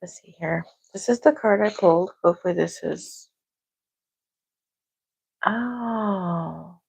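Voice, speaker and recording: A soft-spoken woman reading tarot on a casual livestream at home, talking quietly to herself. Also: on the low side, slow, vocal fry, slightly rough, no room sound, rough recording. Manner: talks to herself, quiet and musing. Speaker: tarot reader. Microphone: clip-on microphone.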